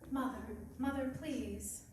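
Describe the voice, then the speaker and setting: A woman playing a gentle, protective child comforting a parent in a radio play. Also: high-pitched, fairly even intonation, slow pace. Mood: gently consoling. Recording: echoey, far from mic, faint noise.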